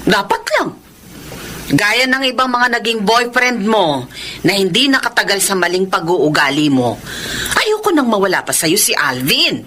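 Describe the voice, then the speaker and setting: A woman's voice: medium pitch, sing-song, normal speed, bright, forceful tone, a little hiss or hum. An actress in a Filipino radio drama playing a blunt middle-aged mother, chiding and emphatic, with a Filipino accent.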